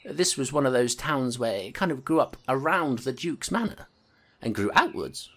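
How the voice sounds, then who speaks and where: A woman's voice: very deep voice, sing-song, moderate pace, fairly bright tone, full character voice. A woman playing a blunt, wry young constable who narrates her case and answers guardedly. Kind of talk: audio drama narration and dialogue. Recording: music playing behind.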